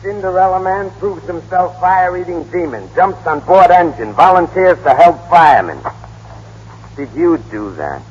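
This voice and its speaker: An actor playing a blunt, exasperated American minder in a vintage radio drama, scolding in slangy talk. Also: male voice, high voice, expressive, moderate pace, noisy background. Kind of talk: 1930s-40s radio drama acting. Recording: dull, muffled old radio broadcast.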